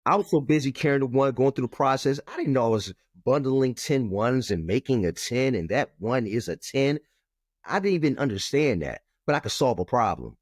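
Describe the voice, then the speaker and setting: Brief: low, firm-toned male educator, emphatic repeats, dry close sound. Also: very animated, moderate pace, no room sound. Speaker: math educator and author. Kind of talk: conversational interview.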